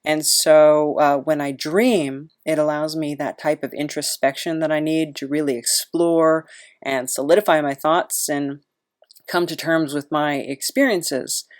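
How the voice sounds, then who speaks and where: Woman podcaster w a low voice, chatty and rambly, kinda pokes fun at herself. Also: steady tone, measured pace. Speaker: podcast host. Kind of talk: casual rambling podcast chat.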